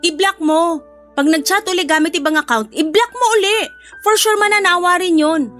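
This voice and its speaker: A woman's voice: very high-pitched, moderate pace, a bit bright, faint music behind. A woman playing a blunt, protective best friend with a sassy, scolding manner in an audio drama. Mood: blunt, teasing.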